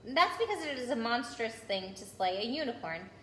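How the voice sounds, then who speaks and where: A noble male centaur as a woman reads him speaks gravely and earnestly in a storybook tale. The voice is fairly high, in a roomy, echoing space with faint noise. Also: sing-song, moderate pace, sharp tone. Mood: solemn. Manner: solemn, earnest, formal.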